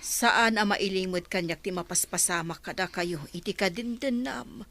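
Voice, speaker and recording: A woman's voice: mid-range voice, moderate pace, fairly bright tone, close to an everyday voice. A woman playing a nosy, gossiping older relative in an audio drama, prying and admonishing, with an Ilocano Filipino accent. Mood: concerned, nagging. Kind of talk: amateur audio drama acting.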